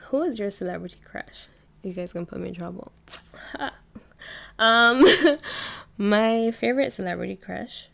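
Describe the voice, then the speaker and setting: A woman's voice: medium pitch, gravelly, a bit bright. A young adult woman from Barbados with a Barbadian accent, hosting her own podcast in a chatty, casual way with frequent laughter. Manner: chatty, laughs often, self-correcting. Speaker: podcast host. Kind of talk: casual solo podcast chat.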